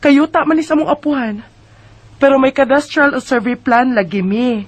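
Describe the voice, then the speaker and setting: A woman's voice: sits high, fairly quick. A woman with a Bisaya accent playing a brazen, stubborn neighbour in a radio drama, dismissive and argumentative. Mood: brazen, defiant. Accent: Filipino Bisaya.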